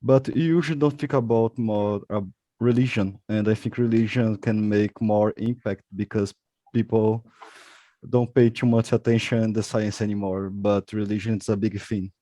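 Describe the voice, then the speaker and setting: A man's voice: lowish voice, steady tone, normal speed, warm tone, no echo. A Brazilian man, a native Portuguese speaker, asking a question in an online seminar, hesitant and searching for words. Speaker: seminar participant.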